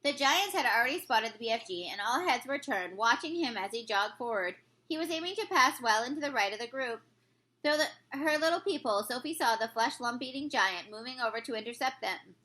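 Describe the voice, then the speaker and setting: A woman narrates a children's storybook like a teacher reading aloud. Her voice is notably high. It has a bright, clear-cut edge. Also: lively intonation, fairly quick, rough recording.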